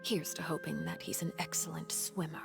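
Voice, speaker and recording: An actress playing a poised, menacing woman in a video game, speaking politely and with measured control. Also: sits low, fairly even intonation, gravelly, soft background music. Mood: polite, veiled menace. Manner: polite, measured, veiled threat. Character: poised, menacing woman, head of a villainous order.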